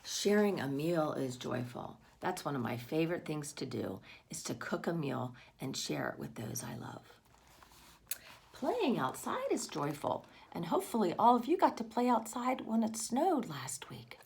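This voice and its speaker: A woman with a fairly low voice reads a picture book to young children. Her delivery swings widely in pitch and often dips into creaky fry, as she chats to the listeners and puts questions to them. There is clear background noise in the recording. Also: measured pace. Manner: expressive, addresses young listeners with questions and exclamations. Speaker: read-aloud storyteller for children. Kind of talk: picture book read-aloud with asides to children.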